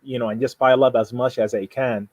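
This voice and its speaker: Man, native Arabic speaker, Sudanese accent. Medium-pitched, conversational, halting delivery with repeated rephrasing. Dry recording. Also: fairly even intonation, no room sound, highs cut off. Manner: halting, repeats and rephrases, frequent "you know". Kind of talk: casual conversation, explaining personal plans.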